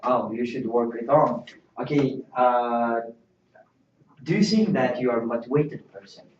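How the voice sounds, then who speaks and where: A man's voice: mid-range voice, a little slow, slight background noise. A man playing an interviewer in a mock job interview, asking simple questions in non-native English. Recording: distant, roomy, dull lo-fi sound.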